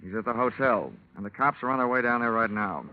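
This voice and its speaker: An actor playing a wisecracking, shrewd insurance investigator in a 1950s radio detective show, with sardonic, punchy, slangy delivery. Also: male voice, medium pitch, quick pace, lightly acted. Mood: sardonic, probing. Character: wisecracking hard-boiled male insurance investigator, brash and shrewd. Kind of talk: 1950s radio detective acting and first-person narration. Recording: muffled, hissy old radio recording.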